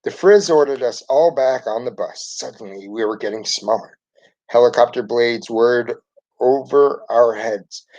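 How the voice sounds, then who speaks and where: A man reading a children's storybook aloud in an expressive way. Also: mid-range voice, a little slow. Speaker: storybook reader. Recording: dull lo-fi recording.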